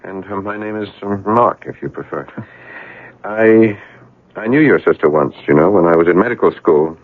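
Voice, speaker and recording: An actor playing a concerned young family doctor in a 1970s radio drama, speaking courteously and tentatively. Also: male voice, low voice, animated, moderate pace. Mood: earnest, reminiscing. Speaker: actor in a radio mystery play. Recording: narrowband old radio broadcast.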